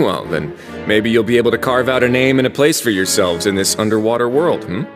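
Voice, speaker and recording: An actor playing a suave, enigmatic younger duke who runs a prison in a video game, formally courteous and dryly teasing. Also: male voice, mid-range voice, animated, fairly quick, over loud music, close to an everyday voice. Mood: calmly cautioning.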